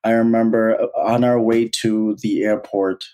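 A man in his thirties from Bangladesh, a guest telling his life story in an earnest, halting way with many restarts. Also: lowish voice, level tone, dark tone. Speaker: guest, college alumnus. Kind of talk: personal storytelling interview.

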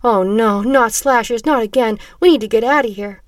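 A woman voicing a small, scared, cheeky young boy with a high-pitched, squeaky voice in an audiobook story. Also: normal speed, dry recording, studio quality, distant mic, lightly acted. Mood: frightened.

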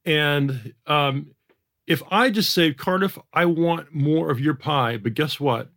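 A man with a general American accent, a guest on a podcast, explains his ideas with emphasis and frequent repeated words. His voice sits a bit high for a man and has a gravelly, creaky texture. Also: slow, fairly bright tone. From Jamaica. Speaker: economist guest.

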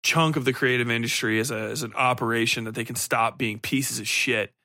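A man's voice: mid-range voice, steady tone, fairly quick, sharp tone, no room sound, studio quality. A man giving a casual, unscripted podcast review, rambling and correcting himself, with blunt, sweary opinions. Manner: rambling, hesitant, self-correcting. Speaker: podcast host reviewing books and TV.

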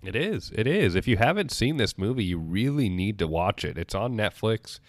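Deep-voiced rambling young man. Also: sing-song, normal speed, dry recording. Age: 20s. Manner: rambling, earnest, many restarts. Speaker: podcast co-host. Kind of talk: casual film review chat.

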